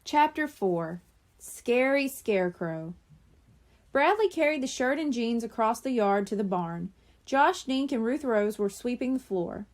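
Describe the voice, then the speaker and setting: A woman reading a children's book aloud in expressive scripted narration. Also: a little high, a little slow. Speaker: audiobook reader.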